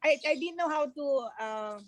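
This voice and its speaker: High-pitched Filipino woman on a call. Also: expressive, moderate pace, crowd noise. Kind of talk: casual group discussion. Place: online video call. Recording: faintly noisy video call with clicks.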